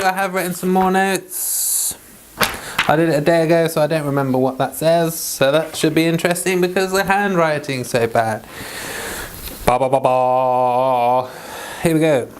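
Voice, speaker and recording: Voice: mid-range voice, slight background noise. A vlog host with a playful, self-deprecating, informal way of talking, moving between casual chat and reading aloud. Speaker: host of a Bible read-along vlog. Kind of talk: casual rambling chat, then scripture reading.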